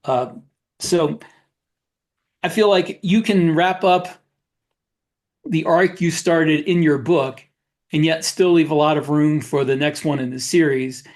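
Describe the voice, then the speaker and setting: A man with a somewhat high-pitched voice co-hosts a podcast. He talks casually and haltingly, offering firm opinions as he goes. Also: steady tone, a little mellow. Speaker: podcast co-host, author. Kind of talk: casual discussion of writing.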